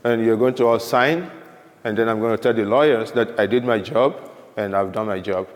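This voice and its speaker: A man's voice: on the low side, moderate pace. A man co-presenting at a conference, teasing his partner with playful banter. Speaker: solutions architect, co-presenter. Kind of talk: joking conference talk. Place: large conference hall. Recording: reverberant hall.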